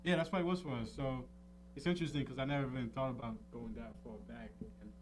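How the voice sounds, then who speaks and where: A man's voice: medium pitch, steady tone, quick pace, music in the background. A man in casual, candid conversation, speaking in a slangy way and often dropping in "you know.". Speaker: podcaster in a talk show conversation.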